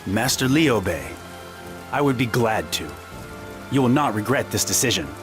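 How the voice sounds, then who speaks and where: An actor playing a polite, self-assured military strategist who talks with hesitant fillers and wry courtesy. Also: male voice, medium pitch, animated, normal speed, natural voice. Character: eccentric polite male strategist, confident. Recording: game audio with loud music.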